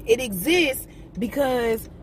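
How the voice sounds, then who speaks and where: Young woman ranting in her car at night, kinda high voice, lots of cussing, phone on the dash, clear car noise behind. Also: takes it slowly. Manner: blunt, profane, animated rant. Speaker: vlogger, entrepreneur. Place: inside a parked car at night. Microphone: phone camera on the dashboard.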